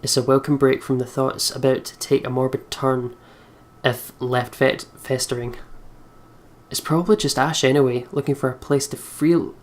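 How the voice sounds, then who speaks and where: A man hosting a let's play, reading visual novel narration aloud in an expressive storytelling way. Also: mid-range voice, normal speed.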